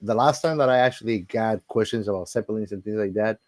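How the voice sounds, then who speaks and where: Low-voiced man chatting casually. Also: quick pace, a little mellow. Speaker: podcast host. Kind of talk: casual movie discussion chat.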